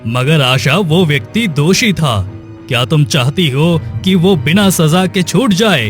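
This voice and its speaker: A man's voice: fairly high pitch, animated, unhurried, faint music behind. A man voicing a stern, formal king in a cartoon moral story. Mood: grave. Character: stern, weighty king holding court.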